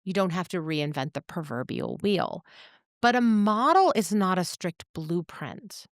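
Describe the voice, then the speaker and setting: A woman podcast host talking reflectively in deliberate, measured short phrases. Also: fairly low pitch, big pitch swings, dry recording, studio quality. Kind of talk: reflective conversational talk.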